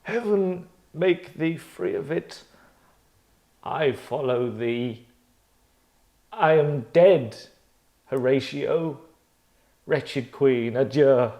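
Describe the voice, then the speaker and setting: A man playing a grave, dying tragic prince in a Shakespeare table read, declaiming verse slowly and weightily. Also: mid-range voice, animated, mellow, echoey room.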